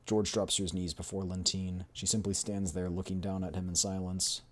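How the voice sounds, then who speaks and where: Male game-story narrator, low pitch, dramatic read-through delivery for a livestream. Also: flat delivery, normal speed. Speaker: streamer reading a game story aloud.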